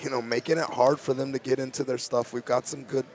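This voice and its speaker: Raspy-voiced man coaching basketball. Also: mid-range voice, little pitch movement, fast, a little mellow, faint music behind. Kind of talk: postgame interview. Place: high school gymnasium.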